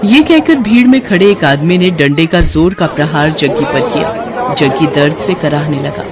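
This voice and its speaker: A woman's voice: sits low, lively intonation, normal speed, crowd noise. A woman reading scripted narration as the storyteller of a cartoon moral tale.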